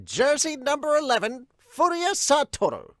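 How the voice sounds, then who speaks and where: Man putting on a high-pitched formal roll-call announcer. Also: wildly swooping pitch, moderate pace, no echo, studio quality, exaggerated voice. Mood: formal, proclaiming. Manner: loud, raised, proclaiming roll call. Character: formal team announcer calling out jersey numbers.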